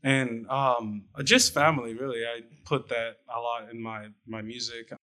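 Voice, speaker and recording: A young man, a rapper guest on a radio interview, speaking in a soft, halting way with restarts. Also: mid-range voice, steady tone. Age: young adult.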